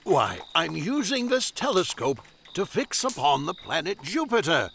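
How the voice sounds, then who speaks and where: A patient, kindly professor in an audio drama. The voice is lowish and unhurried, with plenty of rise and fall, gently explaining things. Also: female voice, big pitch swings, a little hiss or hum, exaggerated voice. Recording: night crickets and clicks behind.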